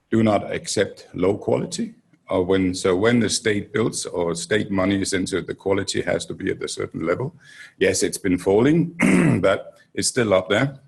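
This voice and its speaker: A man's voice: low voice, gravelly, husky. A middle-aged Danish man with a Danish accent, giving a rambling, filler-heavy talk on a conference panel into a handheld mic. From Denmark. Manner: loose, rambling with many fillers and restarts.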